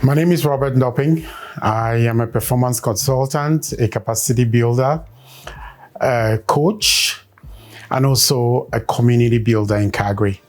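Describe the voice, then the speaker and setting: A man from Cameroon, a performance consultant and coach, giving measured interview answers with many pauses and fillers. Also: mid-range voice.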